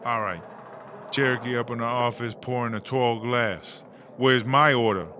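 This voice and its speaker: A man's voice: mid-range voice, fairly even intonation, moderate pace, noisy background, lightly acted. A man playing a sassy, bossy, streetwise female prisoner in a comedy audio drama, with slangy, exclamatory drawling talk. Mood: sassy, playful.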